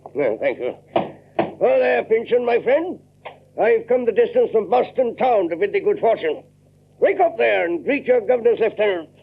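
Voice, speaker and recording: An actor playing a jovial, pompous older Lieutenant Governor in an audio drama, hemming and blustering in archaic speech. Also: male voice, sits high, very animated, normal speed, gravelly, full character voice. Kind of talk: old-time audio drama acting. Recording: tinny, band-limited recording with faint noise.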